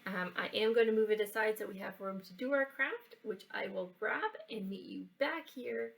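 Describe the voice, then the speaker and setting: A woman giving a casual how-to science and craft demonstration for children, explaining in a halting way and checking in as she goes. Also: mid-range voice, creaky, distant mic. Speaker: library children's program presenter. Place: indoors, in a library building.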